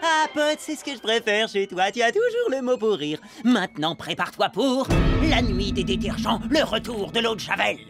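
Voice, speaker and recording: An actor voicing an excitable, self-assured young amoeba in a French cartoon dub, with boastful, exclamatory delivery. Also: mid-range voice, normal speed, few pauses. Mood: enthusiastic, cocky. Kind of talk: French-dubbed cartoon acting. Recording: loud cartoon music behind.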